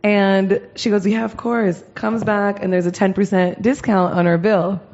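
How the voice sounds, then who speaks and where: A woman's voice: mid-range voice, level tone, a little slow, a little mellow. Joking woman co-hosting a podcast. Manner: laughs often, light and joking. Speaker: podcast co-host. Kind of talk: casual chat. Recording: dull lo-fi podcast audio.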